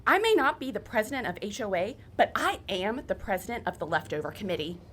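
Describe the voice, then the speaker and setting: A woman's voice: mid-range voice, big pitch swings, fast, fairly bright tone, faint music behind. A woman playing an opinionated, aggrieved suburban busybody, emphatic and huffy, in a radio comedy. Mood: aggrieved, resigned. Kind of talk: naturalistic radio comedy acting.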